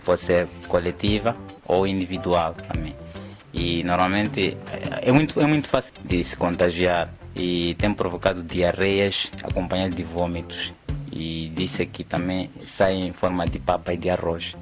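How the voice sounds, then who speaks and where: Male interviewee, deep voice, unscripted answer, music playing behind. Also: fairly even intonation, a little slow. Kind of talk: spontaneous explanation.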